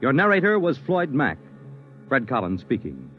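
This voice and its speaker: A man's voice: mid-range voice, wildly swooping pitch, moderate pace, soft background music. A man announcing the credits of a radio program in a formal manner. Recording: dull lo-fi vintage radio broadcast.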